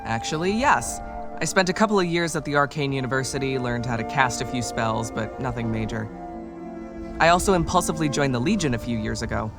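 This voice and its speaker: A man's voice: mid-range voice, a little fast, close to an everyday voice. Casting: a man to play an easygoing orc writer turned reluctant game companion. Mid-range voice, dry and hesitant, self-mocking, played over music. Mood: dry, offhand. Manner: wry, hesitant, understated. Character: laid-back, self-deprecating male orc writer and reluctant adventurer. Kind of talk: casual video game companion dialogue. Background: music behind the voice.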